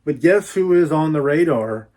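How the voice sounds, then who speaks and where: A man's voice: a little high, animated, normal speed, a little mellow. A man doing a casual, folksy book unboxing vlog, rambling playfully with repeats and little asides.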